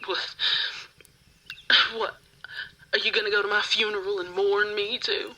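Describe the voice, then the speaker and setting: A woman playing a frightened but defiant captive rival in an ASMR roleplay, halting and stammering as she pleads. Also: mid-range voice, level tone, bright, forceful tone, dull audio, a little hiss or hum. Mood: incredulous.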